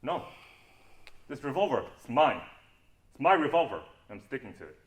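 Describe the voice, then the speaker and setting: Sardonic adventurer captain, played by a man, swinging pitch. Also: sing-song, normal speed, natural voice. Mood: scornful. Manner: sardonic, taunting. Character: cool, mocking, self-assured male adventurer captain with a revolver. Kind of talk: stage play acting. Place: stage performance.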